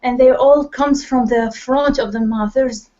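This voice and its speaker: A woman's voice: sits high, fairly even intonation, measured pace, mellow. Iraqi woman teaching over a call. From Iraq. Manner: hesitant, many fillers and restarts. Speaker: English class teacher. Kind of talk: online class instruction. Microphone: computer mic on a video call. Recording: lo-fi online call audio.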